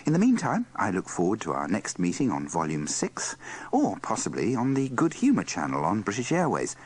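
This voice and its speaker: A man's voice: lowish voice, wildly swooping pitch, brisk, slightly rough. A man presenting scripted narration with a dry, tongue-in-cheek delivery. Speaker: presenter of a radio bloopers compilation.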